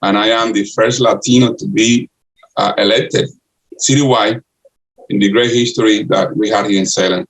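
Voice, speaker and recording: An older man from the Republic, answering interview questions in halting English full of restarts. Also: fairly low pitch, fairly even intonation, poor audio. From Dominican Republic. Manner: halting, many restarts and uh fillers. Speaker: guest, city councilor and candidate.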